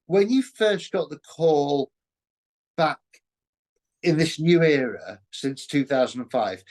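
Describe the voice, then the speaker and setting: A man interviewing a guest casually, earnest and a little halting as he frames his questions. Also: mid-range voice. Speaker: interviewer, show host.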